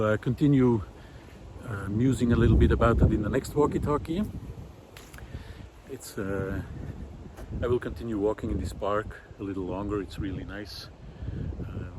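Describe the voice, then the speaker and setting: Musing middle-aged man, noisy outdoor recording. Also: lowish voice. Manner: musing, hesitant, with frequent pauses. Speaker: visual artist and vlog host. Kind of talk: reflective monologue while walking. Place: outdoors, walking in a park. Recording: outdoor ambient noise.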